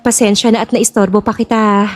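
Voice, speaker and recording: A woman's voice: medium pitch, flat delivery, fairly quick, close to an everyday voice. A woman playing an earnest, guarded young bride-to-be in a Filipino audio drama, speaking conversationally. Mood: worried, confiding. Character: Jasmine, worried young bride-to-be of a rich old man.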